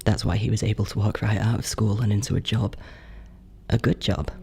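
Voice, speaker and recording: An actor playing a jealous, guilt-ridden older brother in a horror audio drama monologue, halting and confessional, with a gravelly, creaky voice. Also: male voice, fairly low pitch, steady tone, darkish tone. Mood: bitter, melancholy. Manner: hesitant, confessional, halting, drops toward whispers.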